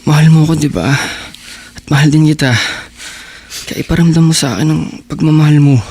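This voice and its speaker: An actor playing a lazy, pushy high school boyfriend in a Filipino radio drama, with a Filipino accent. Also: male voice, steady tone, fairly quick, a little mellow, husky. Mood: coaxing, pushy.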